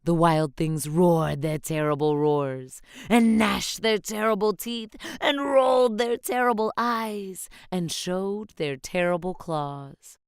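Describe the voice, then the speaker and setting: Slow, gentle storybook reader, woman. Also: on the low side, smooth, no echo, studio quality. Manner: expressive, gentle, rhythmic. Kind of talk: read-aloud children's storybook narration.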